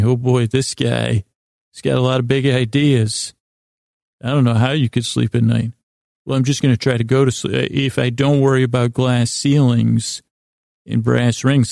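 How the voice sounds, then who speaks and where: A man with a creaky, gravelly voice hosting a sleep podcast, meandering and halting as he talks, often stammering and repeating his words. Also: mid-range voice, warm tone, no echo, studio quality. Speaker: podcast host. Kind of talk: rambling sleep podcast monologue.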